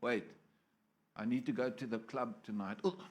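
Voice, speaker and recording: A man's voice: medium pitch, moderate pace, pitched below the performer's own voice, close to an everyday voice. A man doing a flighty, wavering churchgoer. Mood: fickle. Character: fickle churchgoer torn by temptation.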